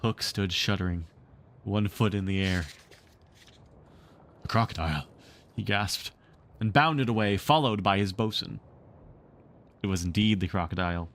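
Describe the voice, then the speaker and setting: A man reading a story aloud as an expressive narrator, shaping each sentence for listeners. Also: fairly low pitch, normal speed, slight background noise.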